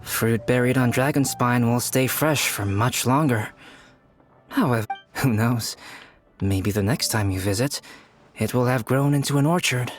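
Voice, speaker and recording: A man voicing a calm, composed young alchemist in a video game, dry and gently teasing. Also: mid-range voice, music in the background, natural voice. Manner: calm, measured, dryly teasing.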